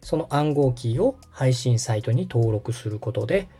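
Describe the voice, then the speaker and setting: A man's voice: mid-range voice, animated, normal speed, mellow, husky. A man hosting a step-by-step tutorial, explaining things politely and guiding the listener along. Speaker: podcast host and tutorial instructor, radio director.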